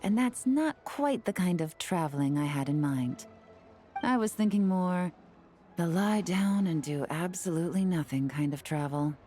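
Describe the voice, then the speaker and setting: An actress playing a composed, teasing young woman investigator in a video game, speaking in a measured, wry way with music behind her. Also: lowish voice, expressive, mellow, natural voice.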